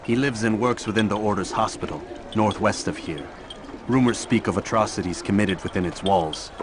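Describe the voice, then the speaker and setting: A man's voice: lowish voice, normal speed, background noise, close to an everyday voice. A man playing a stoic, grim young medieval assassin in a video game, speaking tersely and formally. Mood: cool, resolute. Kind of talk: dramatic video game acting. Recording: loud music behind the voice. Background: music.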